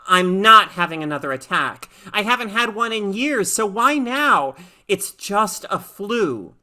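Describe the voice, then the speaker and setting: A woman's voice: mid-range voice, sing-song, measured pace, pushed and bright, pitched above the performer's own voice. A woman voicing a reluctant, sullen teenage girl with a whiny, pleading manner in a story reading. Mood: anxious. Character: reluctant, sullen schoolgirl of about fourteen.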